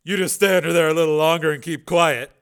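A man voicing a sly, teasing redheaded showgirl, pitched up and bright. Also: steady tone, fairly quick, clear, resonant tone, close to an everyday voice. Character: sultry wisecracking redheaded showgirl, woman.

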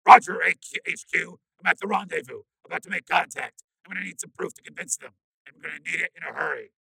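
A man voicing a tense undercover agent with a gravelly, rasping, disguised voice, reporting in. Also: on the high side, quick pace, pushed and bright, no room sound, pitched above the performer's own voice, heavily acted, rough recording. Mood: urgent.

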